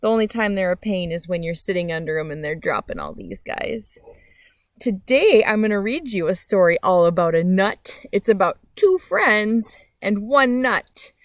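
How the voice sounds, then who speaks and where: Animated woman reading to children. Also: medium pitch, normal speed, dry recording, clean studio sound. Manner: animated storyteller, simple friendly explaining to children. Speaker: read-aloud host. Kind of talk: children's storybook read-aloud with casual chat. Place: outdoors by a meadow.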